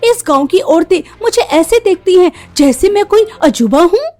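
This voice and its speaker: A woman's voice: very high voice, lively intonation, normal speed, mellow. A woman voicing a haughty, complaining young city-bred daughter-in-law in a cartoon story, insistent and snobbish. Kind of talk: Hindi cartoon story voice acting.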